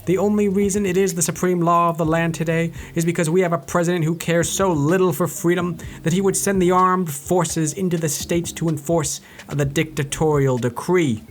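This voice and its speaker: A man's voice: a little high, steady tone, moderate pace, few pauses. A man from New Jersey reading an old political speech aloud and breaking into sarcastic, profane commentary. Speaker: YouTube history commentator.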